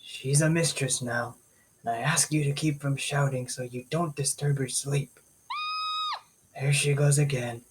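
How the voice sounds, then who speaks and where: A man playing a gaunt, sorrowful old butler in a gothic audio drama, speaking in a hushed, formal way. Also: mid-range voice, fairly even intonation, measured pace, slight background noise, lightly acted, poor audio. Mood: mournful.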